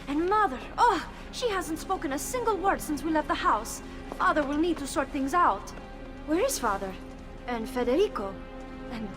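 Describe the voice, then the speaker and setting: Worried young sister, high lively voice, slow and pleading, music behind. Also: female voice, exaggerated voice. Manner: anxious, pleading.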